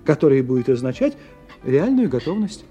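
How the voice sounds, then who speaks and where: An actor playing an urbane, ironic scientist who philosophizes with wry courtesy in a radio play. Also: male voice, medium pitch, a little fast, muffled recording, faint music behind, close to an everyday voice. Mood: wry, explanatory. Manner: expressive, philosophizing, wryly courteous. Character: urbane, ironic male scientist explaining his theories. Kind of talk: 1990 radio play acting.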